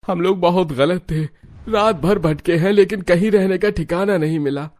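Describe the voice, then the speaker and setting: A man's voice: high-pitched, normal speed, a little mellow, natural voice. A man voicing a helpful husband from a poor family in a Hindi cartoon story. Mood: weary, regretful.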